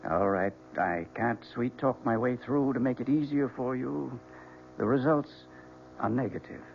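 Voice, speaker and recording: A blunt, gravelly doctor. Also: male voice, medium pitch, a little slow, slight background noise. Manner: direct, matter-of-fact. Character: blunt, sober male doctor. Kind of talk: 1970s radio drama acting. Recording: lo-fi old radio broadcast.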